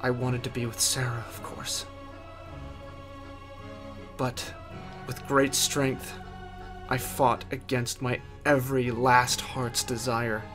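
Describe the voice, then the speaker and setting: A man narrating a first-person horror story in a theatrical way, with deliberate pauses. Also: medium pitch, flat delivery. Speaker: writer and narrator. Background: music.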